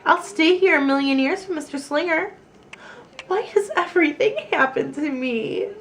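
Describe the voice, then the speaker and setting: Woman voicing an excitable high-pitched little schoolgirl. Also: full character voice. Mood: dramatic remorse. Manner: emphatic, dramatic, repetitive.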